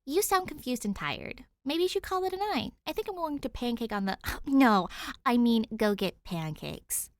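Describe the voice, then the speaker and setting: A woman's voice: a little high, expressive, moderate pace, no echo, pitched above the performer's own voice. A woman voicing a cheeky, reckless teenage girl, playful and mischievous, in an audiobook story. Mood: teasing, flippant. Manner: mischievous, flippant, giggly. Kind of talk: audiobook character dialogue.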